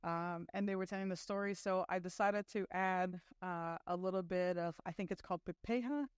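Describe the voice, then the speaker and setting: A woman's voice: fairly low pitch, fairly even intonation, fairly quick, clean tone, dry recording, clean studio sound. A middle-aged woman from Brazil giving a chatty conference talk, full of fillers and self-deprecating asides. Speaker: conference speaker.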